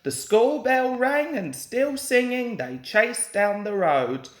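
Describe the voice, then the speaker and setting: A young man reads a children's storybook with plenty of expression. His delivery is unhurried and very varied in pitch. The room adds a noticeable echo to his voice. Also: expressive. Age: young adult. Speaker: storybook reader. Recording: roomy home recording with echo.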